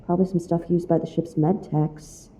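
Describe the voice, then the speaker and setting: Woman playing a playful, mellow-voiced space explorer. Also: on the low side, flat delivery, moderate pace, close to an everyday voice, low-quality recording. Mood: focused, narrating. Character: playful woman space explorer hosting a ghost-hunting show. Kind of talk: audio drama acting.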